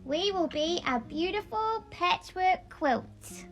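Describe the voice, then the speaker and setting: A woman's voice: very high-pitched, lively intonation, slow, faint music behind, voice pushed up, full character voice, poor audio. A woman voicing a hopeful piece of blanket that encourages others in an earnest storybook manner. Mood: hopeful, encouraging.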